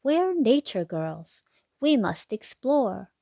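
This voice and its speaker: A woman reading a children's picture book aloud with expressive, deliberate phrasing. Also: fairly high pitch, creaky, no room sound, clean studio sound. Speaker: read-aloud narrator for children.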